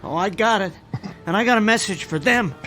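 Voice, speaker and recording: A man's voice: high-pitched, expressive, brisk, bright, forceful tone, faint music behind. An actor playing a reckless, loyal younger brother, a down-on-his-luck hustler with urgent, slangy tough talk in a radio drama. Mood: urgent, determined.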